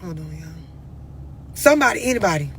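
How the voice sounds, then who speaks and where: A woman's voice: on the low side, lively intonation, fairly quick, fairly bright tone, slight background noise. An American woman giving blunt, unscripted relationship advice on a live stream, confrontational and emphatic, pressing her questions insistently. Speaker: host of a live stream talking to followers.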